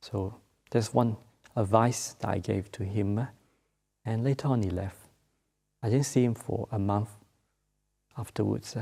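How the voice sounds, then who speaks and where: Malaysian monk giving a dharma talk, kinda low gravelly voice, lots of uhs, gentle. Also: male voice, steady tone. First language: not English. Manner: halting, many filler sounds; gentle, unhurried teaching. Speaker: Buddhist monk.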